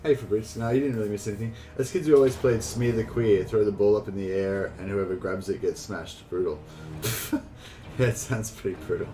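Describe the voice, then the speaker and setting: An Australian man chatting casually on a live game stream, rambling and halting, with some swearing. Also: fairly low pitch, warm tone, low-quality recording. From New South Wales, Australia. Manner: rambling, halting, foul-mouthed. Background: faint game music.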